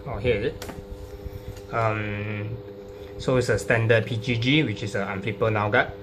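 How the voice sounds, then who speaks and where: A man informally explaining a card deck, halting and hesitant, often repeating his phrases. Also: on the low side, steady tone. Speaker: trading card deck profile presenter. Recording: clearly noisy recording with hum.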